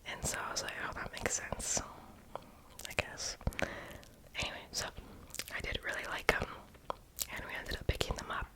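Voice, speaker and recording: A person whispering a casual, rambling ASMR voiceover in a breathy, unvoiced voice, often stopping to correct themselves. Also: moderate pace, long pauses. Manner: casual, rambling, hesitant self-correcting talk. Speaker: ASMR video creator.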